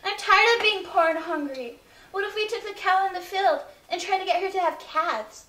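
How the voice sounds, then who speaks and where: A woman's voice: very high voice, normal speed, bright, forceful tone. A woman in a student comedy playing indignant, demanding characters. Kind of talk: comic acting. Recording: roomy.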